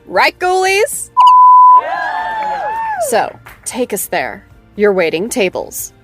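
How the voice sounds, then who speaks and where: A woman's voice: very high-pitched, wildly swooping pitch, drawn-out words, music in the background, crowd noise, full character voice. An actress playing a bubbly, teasing after-show host in a scripted audio drama, gushing and playful with her guest.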